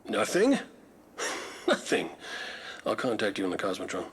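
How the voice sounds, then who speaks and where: An actor playing a scheming, wisecracking robot adventurer in a video game, conspiratorial and flippant. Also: male voice, lowish voice, huge pitch swings, measured pace, slight background noise. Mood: sly, urgent. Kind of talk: cinematic game voice acting.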